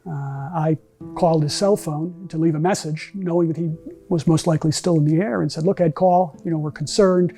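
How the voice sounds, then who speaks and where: A middle-aged man giving a measured personal recollection in a documentary interview. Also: a little high, fairly even intonation, warm tone, faint music behind. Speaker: bereaved brother interviewed. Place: large meeting room with empty chairs.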